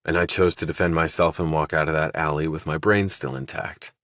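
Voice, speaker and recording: A man's voice: extremely low pitch, fairly even intonation, brisk, fairly bright tone, dry recording, studio quality. A man voicing a tough, guarded young ex-army fighter with a terse, blunt manner in an audiobook. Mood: probing, blunt.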